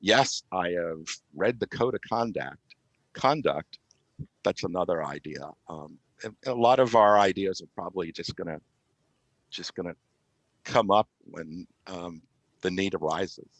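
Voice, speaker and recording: Very deep-voiced man in an interview. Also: measured pace, no echo. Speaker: podcast guest, community radio IT volunteer. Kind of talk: interview answers, explanatory chat. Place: remote call with virtual background. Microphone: video call.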